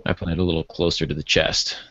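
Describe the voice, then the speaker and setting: A man talking through fiction writing as a podcast panelist in casual discussion, with a measured, halting delivery as he thinks aloud. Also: very deep voice, level tone, bright, forceful tone, no room sound, muffled recording. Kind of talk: literary discussion, casual chat.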